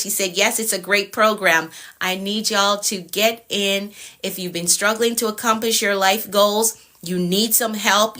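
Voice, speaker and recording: A woman coaching on a live stream, repeating herself encouragingly and pitching her mentorship program. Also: bright, forceful tone. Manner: repetitive, encouraging, sales pitch. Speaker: productivity coach.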